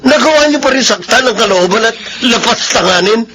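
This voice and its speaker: A man's voice: very high-pitched, expressive, a little fast, noisy background. An actor playing an earnest, wounded middle-aged father in a Filipino radio drama, weighty and melodramatic, with a Filipino accent. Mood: hurt, reproachful.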